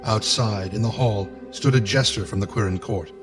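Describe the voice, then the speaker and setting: A man narrating a scripted fantasy game story in a measured, solemn storytelling manner. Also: on the low side, over music, close to an everyday voice.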